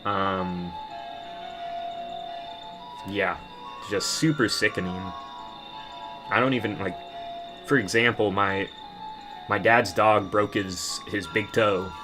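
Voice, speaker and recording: Man, lowish voice, bitter profane rant, rambling with restarts. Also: slow, long pauses, music in the background. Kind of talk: casual rant to camera.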